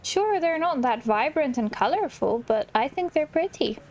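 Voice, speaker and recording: A woman's voice: high voice, animated, normal speed, a little mellow, a little hiss or hum. A woman hosting a gaming video, giving casual let's-play commentary.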